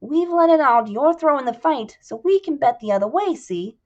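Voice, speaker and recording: A woman voicing a male con man posing as a mobster with a put-on Italian-American accent, low and friendly yet menacing. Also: expressive, moderate pace, muffled recording. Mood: conspiratorial.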